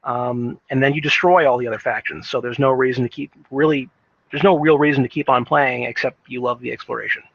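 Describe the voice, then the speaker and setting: A man giving an unscripted opinion monologue about video games, thinking aloud in a halting way and repeating words as he goes. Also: mid-range voice, steady tone, vocal fry, a bit bright, no room sound, muffled recording. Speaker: gaming commentator.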